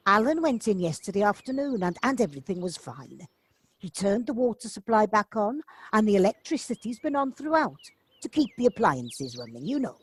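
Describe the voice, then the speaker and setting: Female actor as homely village neighbour, very animated intonation, chatty and helpful, audio drama recorded at home with light noise. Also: mid-range voice, close to an everyday voice. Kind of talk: audio play acting.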